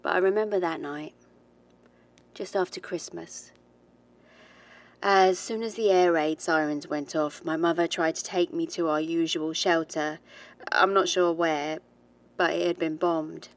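Woman acting a haunted researcher, lowish voice. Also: fairly even intonation, measured pace, long pauses. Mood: haunted, reflective. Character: haunted, determined woman researcher, British-born, recalling childhood ghosts. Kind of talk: confessional audio diary monologue in horror audio drama.

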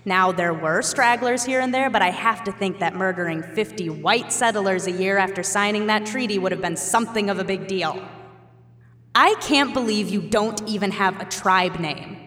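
A woman's voice: medium pitch, moderate pace, fairly bright tone, close to an everyday voice. An actress playing an outspoken, sarcastic young female researcher in an audio drama, speaking bluntly and articulately. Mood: indignant, challenging. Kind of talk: naturalistic audio drama acting. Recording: long hall reverb.

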